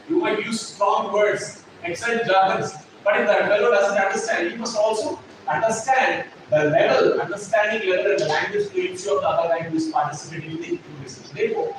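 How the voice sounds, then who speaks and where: A man, lecturing a classroom as a trainer, emphatic and repeating his points while checking whether the class follows. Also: high-pitched. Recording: echoey classroom, distant mic, noisy.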